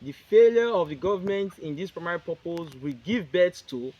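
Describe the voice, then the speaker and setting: A man reading a prepared statement aloud at a press conference, in short, halting phrases and with the odd restart. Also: sits high, animated, mellow, lo-fi sound.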